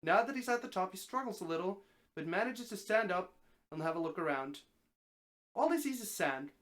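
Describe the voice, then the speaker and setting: Expressive story narrator with a mid-range voice. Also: mid-range voice, fairly quick. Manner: expressive narration, occasional stumbles and repeated phrases. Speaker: streamer reading a story aloud. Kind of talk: live dramatic story reading.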